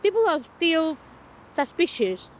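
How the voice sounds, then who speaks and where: A woman in her fifties from Greece, speaking English with a Greek accent in halting sentences with small grammar slips, as a documentary interviewee. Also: very high-pitched, sing-song, slight background noise. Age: 50s. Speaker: interviewee, performance artist.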